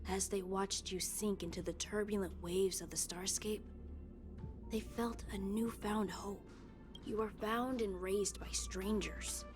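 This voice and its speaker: Video game figure, woman playing grave female storyteller, medium pitch, solemn, background music. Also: steady tone, a little slow, natural voice. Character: ominous, solemn woman telling a tragic legend.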